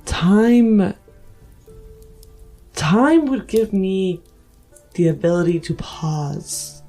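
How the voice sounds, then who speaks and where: A woman playing an aloof, self-assured figure of influence in a roleplayed audio drama, speaking formally with thoughtful pauses. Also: mid-range voice, expressive, warm tone, heavily acted. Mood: reflective. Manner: measured, formal, pausing for thought. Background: music.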